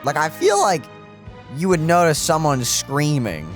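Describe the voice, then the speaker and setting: A man's voice: on the high side, wildly swooping pitch, a little slow. A man co-hosting a let's play with casual, wry commentary. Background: faint game music.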